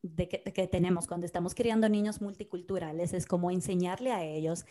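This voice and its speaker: A woman's voice: on the low side, a little fast. A Dominican woman in her thirties co-hosting a casual podcast, telling stories and acting out what people said. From Dominican Republic. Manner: animated storyteller, acts out quoted dialogue. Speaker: podcast co-host. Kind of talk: casual conversation.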